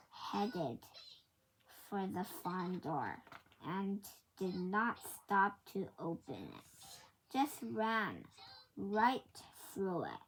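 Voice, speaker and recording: Woman, children's book read-aloud. Very slow, halting delivery, animated pitch swings, slight background noise. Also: poor audio. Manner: halting, word-by-word reading with self-corrections. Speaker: storybook reader.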